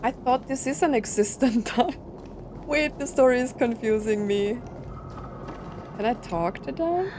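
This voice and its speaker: A woman's voice: on the high side, expressive, unhurried, long pauses, noisy background. A woman casually commentating on a story game playthrough, puzzled and thinking aloud as she goes. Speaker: game streamer. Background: game music.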